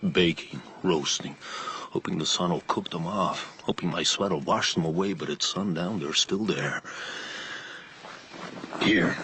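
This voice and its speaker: An actor with an American accent playing a bitter tattooed carnival drifter in a radio drama, brooding and dramatic, dwelling on hushed words. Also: male voice, a bass voice, a little hiss or hum, heavily acted.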